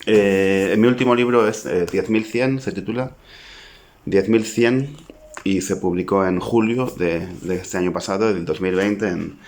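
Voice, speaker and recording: A man's voice: sits low, a little slow, a little mellow. A man in his forties with a Spanish accent, an author talking to camera about his book in a reflective, modest way, thinking aloud as he goes. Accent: Spanish (Spain). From Spain (Zaragoza). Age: 40s. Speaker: poet and author.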